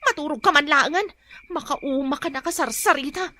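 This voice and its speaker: A woman's voice: high-pitched, fairly quick, noisy background, lightly acted. An actress playing a nagging working woman who pays for everything at home, in a radio drama. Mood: scolding. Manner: nagging, scolding. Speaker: teacher.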